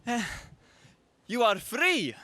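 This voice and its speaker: An excitable elderly scientist from a space adventure radio play, acted by a man. His high voice swings widely and theatrically as he gives orders to his allies. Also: slow pace, no echo, exaggerated voice. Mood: brisk, pleased. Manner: theatrical, exclamatory. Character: excitable elderly scientist ally, loyal and commanding. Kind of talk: 1930s space serial radio acting.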